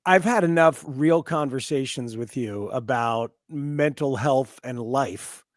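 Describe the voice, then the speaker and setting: A man's voice: medium pitch, slow, no room sound, clean studio sound. A man in his fifties with an American accent, hosting a casual sports radio interview in a conversational way. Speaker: sports talk radio host.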